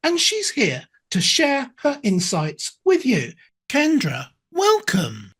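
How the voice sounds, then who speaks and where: A middle-aged English woman hosting a business podcast, chatty and effusive. Her voice is husky and a little breathy. Her pitch moves up and down a lot as she talks. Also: huge pitch swings, slow pace, a bit bright. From England. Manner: chatty, effusive, with playful asides. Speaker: podcast host and accountability coach. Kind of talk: podcast interview.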